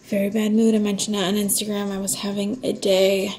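A woman's voice: medium pitch, monotone, brisk, darkish tone, rough recording. A woman around thirty talks in a casual, chatty vlog style. She speaks to a camera held at arm's length in a room at home, as if filling viewers in on an ordinary day. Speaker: vlogger.

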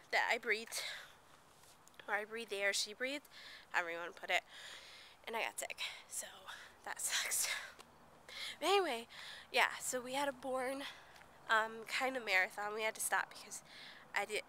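A woman's voice: fairly high pitch, sharp tone, a little hiss or hum. Chatty young woman with a cold. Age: young adult. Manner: rambling and chatty, drops to whispers at times. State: sick with a blocked nose. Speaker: vlogger. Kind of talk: casual vlog chat. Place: outdoors at night near a playground and parking lot. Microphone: handheld camera at arm's length.

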